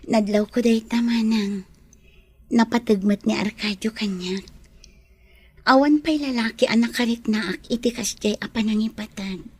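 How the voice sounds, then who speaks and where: Breathy, gentle lovelorn lady. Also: fairly high pitch, unhurried, long pauses, no echo. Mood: defensive. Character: gentle, sickly, lovelorn young lady employer. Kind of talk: Filipino radio drama acting.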